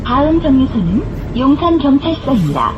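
Female transit announcer: high, lively intonation, formal and carefully enunciated, recorded amid vehicle noise. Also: normal speed, muffled recording. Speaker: bus stop announcer. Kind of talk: scripted public transit announcement. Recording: clear vehicle noise throughout. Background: bus interior noise.

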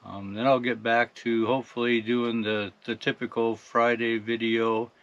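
Older american guy in his 60s chatting in a truck cab, folksy, mid pitch, bit dull sounding audio. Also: level tone, normal speed. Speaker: farm truck driver and video host. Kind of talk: casual update to camera. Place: inside a parked truck cab.

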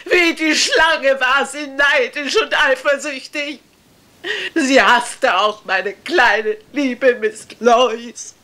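A woman's voice: sits high, drawn-out words, pushed and bright, full character voice. An actress playing a fanatically pious, vengeful servant woman in a radio crime drama, speaking in solemn biblical preaching. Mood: bitter, vengeful.

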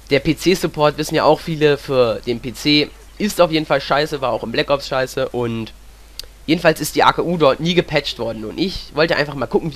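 A man's voice: fairly high pitch, a bit bright. A young man with a cold, talking casually and rambling as he comments on gameplay. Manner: chatty, rambling, fills with "ja". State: has a cold. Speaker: gaming commentator.

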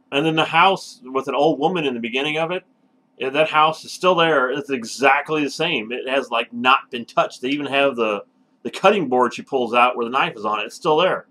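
A man's voice: medium pitch, pushed and bright, low-quality recording. A man co-hosting a casual home-video review show, talking with emphatic enthusiasm. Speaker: movie review show host.

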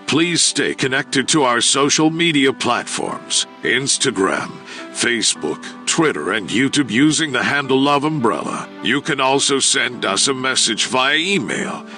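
A man reading a scripted promotional narration as an announcer. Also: mid-range voice. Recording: clear background music under voice. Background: music.

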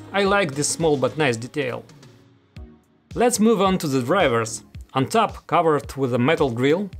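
Man in his thirties, slightly high voice, scripted reviewer delivery, music behind. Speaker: audio gear reviewer, host. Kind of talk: scripted product review to camera. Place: small studio room, indoors.

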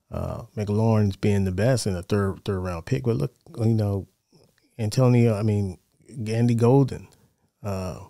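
Low, mellow American man talking sports. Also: no echo, clean studio sound. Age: middle-aged. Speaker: sports show host. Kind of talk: casual sports commentary. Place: small home studio, indoors. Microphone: close broadcast mic on boom arm.

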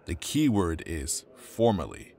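A man's voice: low voice, sing-song, takes it slowly, dark tone, exaggerated voice. A man playing a slick, smug corporate defense attorney in an audio drama, taunting with mock friendliness. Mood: smug, needling.

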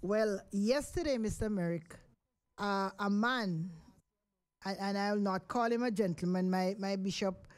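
A middle-aged Jamaican woman hosts a live radio show from the studio. She talks into a studio microphone in a loose, chatty way, with plenty of ums and "of course". Her voice sits at an ordinary pitch for a woman. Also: unhurried. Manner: chatty, rambling with fillers. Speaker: radio host.

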